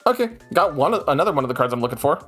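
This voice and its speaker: A man's voice: fairly high pitch, rapid-fire, faint music behind. A man hosting a stream from his home setup, chatting casually and reading card rules aloud. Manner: animated, reads rules text aloud in bursts. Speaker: streamer host.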